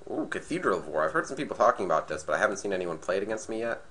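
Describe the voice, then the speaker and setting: A man with a slightly raspy, nasal voice from being sick, casually commentating a card game match and thinking aloud as he plays. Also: lowish voice, very animated, racing, a bit bright. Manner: thinking aloud, hesitant restarts. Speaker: gameplay commentator.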